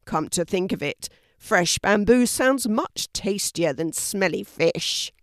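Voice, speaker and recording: A woman voicing a small, cheeky, bossy male red panda in a children's storybook, demanding and excitable. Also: mid-range voice, slow pace, dry recording, clean studio sound. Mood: haughty.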